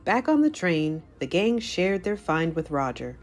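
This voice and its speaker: Lively, lowish-voiced woman reading a story. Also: a little slow, soft background music. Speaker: children's story narrator. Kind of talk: animated storybook read-aloud.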